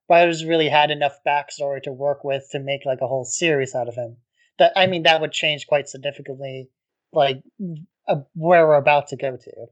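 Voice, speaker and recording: Laid-back man co-hosting a podcast. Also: fairly high pitch. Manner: relaxed, frequent "like" fillers. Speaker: podcast co-host. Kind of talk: casual chat.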